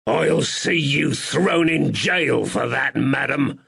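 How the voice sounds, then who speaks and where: A man's voice: medium pitch, measured pace, gravelly, slightly rough, pitched above the performer's own voice. A man voicing an injured police officer who splutters in strained anger. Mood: angry.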